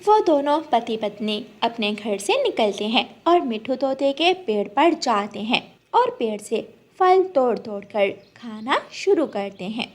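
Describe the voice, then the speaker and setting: A woman tells a scripted children's story. Her voice is high-pitched, and she works as the narrator of a cartoon tale. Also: very animated, a little slow, hardly any pauses, a little mellow.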